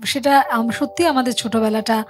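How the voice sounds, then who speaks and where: A woman's voice: fairly high pitch, steady tone, moderate pace. A middle-aged woman, hosting a casual chat. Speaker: talk show host.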